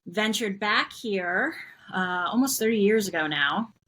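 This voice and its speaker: A middle-aged woman from New York, a podcast guest chatting casually and warmly, rambling a bit and laughing often. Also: mid-range voice, lively intonation, unhurried. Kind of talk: casual interview chat.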